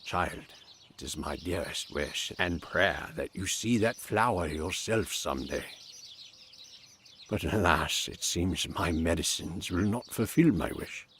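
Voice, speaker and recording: A man playing a gentle, devout old healer and priest in an audio drama, speaking earnestly in measured, old-fashioned formal phrases. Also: deep voice, very animated, slight background noise, exaggerated voice. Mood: tender, wistful.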